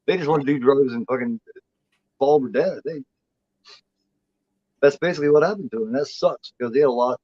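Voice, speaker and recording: A man's voice: mid-range voice, a little fast, pauses often, a little mellow, no room sound, highs cut off. A man in his forties chatting as co-host, blunt and profane, rambling with lots of restarts. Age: 40s. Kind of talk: casual chat.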